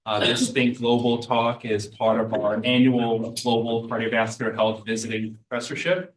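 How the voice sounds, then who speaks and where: A man giving halting opening remarks at an academic talk, with many ums and uhs. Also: medium pitch, flat delivery, roomy sound, a little hiss or hum, poor audio. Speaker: event host. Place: university seminar room.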